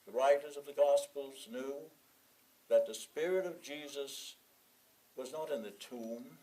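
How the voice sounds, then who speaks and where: A man reading a sermon from a prepared text in a measured, deliberate way, leaning on key phrases and pausing between them. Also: medium pitch, warm tone, low-quality recording. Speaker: sermon reader in a church congregation.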